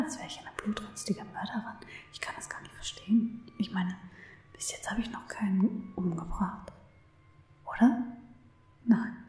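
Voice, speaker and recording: A woman playing an obsessive, lovestruck stalker in an ASMR roleplay, cooing tenderly to her captive in an unhurried, breathy whisper, close to the microphone. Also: medium pitch, darkish tone, roomy sound, natural voice. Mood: coolly defensive.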